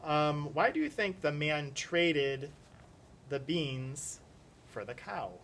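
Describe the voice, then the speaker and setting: A man's voice: a little high, expressive, takes it slowly, a bit bright. A man co-teaching a lesson for young children, asking questions and explaining things conversationally, a little hesitantly. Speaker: grade school co-teacher. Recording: clear background noise.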